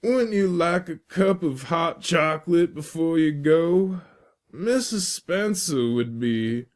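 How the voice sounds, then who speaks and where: Man doing a very slow, kindly old schoolteacher. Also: on the high side, animated, pitched above the performer's own voice. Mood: kindly offering. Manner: slow, doubtful, fatherly. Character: kindly old male schoolteacher, doubtful and fatherly.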